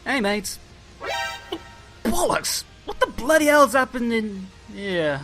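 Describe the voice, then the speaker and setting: A woman's voice: on the high side, big pitch swings, slow, a bit bright, faint music behind, exaggerated voice. A woman playing a brash, cheeky British man in an audio drama, exclaiming in slang with easy outrage. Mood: breezy, then startled.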